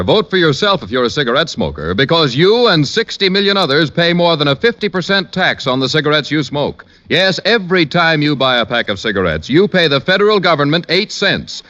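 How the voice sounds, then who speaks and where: A man's voice: mid-range voice, pushed and bright. A man reading scripted announcements formally, in the style of a mid-century radio broadcast. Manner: formal, polished announcer delivery. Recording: muffled old radio broadcast. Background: music.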